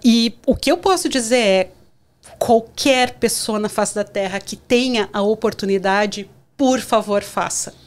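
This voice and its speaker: A middle-aged woman from southern Brazil with a gaúcho accent, a podcast guest telling lively stories in casual chat. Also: on the high side, measured pace. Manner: animated storyteller, acts out scenes. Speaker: guest, career counselor.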